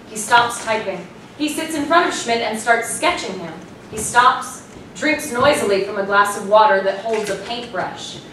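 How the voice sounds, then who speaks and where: A woman's voice: fairly high pitch, fairly bright tone. A woman giving scripted narration, reading out stage directions at a staged play reading. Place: small hall, staged reading before an audience. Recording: very echoey room, distant mic, noisy.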